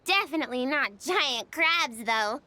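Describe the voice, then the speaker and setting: Bubbly little girl creature from a video game, super high voice, takes her time, excitable and sweet. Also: a little slow, exaggerated voice. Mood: excited, amazed. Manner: bubbly, childlike, earnest. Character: small cheerful female Melusine creature, excitable gem hunter. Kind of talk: English video game character acting. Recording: game music playing behind the voice.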